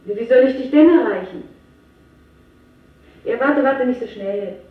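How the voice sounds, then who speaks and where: A woman's voice: on the high side, close to an everyday voice. An actress playing a flustered, affectionate young woman on a phone call in a radio drama, heard muffled and from far off in an echoing space. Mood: flustered, fond. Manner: halting, slow, flustered. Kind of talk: German radio drama acting. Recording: muffled, distant, very echoey, faintly noisy.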